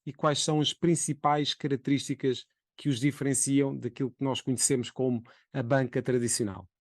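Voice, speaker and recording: Portuguese journalist host, formal scripted intro, mid pitch, super dry sound. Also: male voice, little pitch movement, no echo. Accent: European Portuguese. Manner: formal, measured. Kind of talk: scripted introduction and interview questions.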